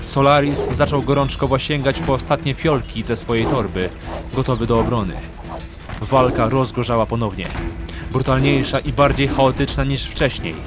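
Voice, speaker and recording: A man's voice: medium pitch, moderate pace. Male fantasy audiobook narrator over music. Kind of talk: dramatic scripted audiobook narration.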